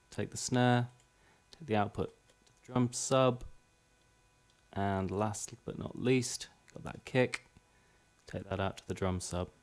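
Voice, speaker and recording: A man's voice: fairly low pitch, clear, resonant tone. A man presenting an informal music production tutorial, explaining step by step in a slightly hesitant way. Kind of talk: informal software tutorial demonstration. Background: drum and music playback.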